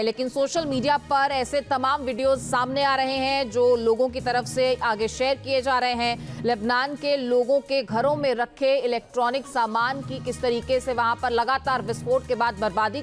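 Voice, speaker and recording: High-voiced woman anchor, music behind. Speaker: news anchor. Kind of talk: live news presenting. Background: news music bed.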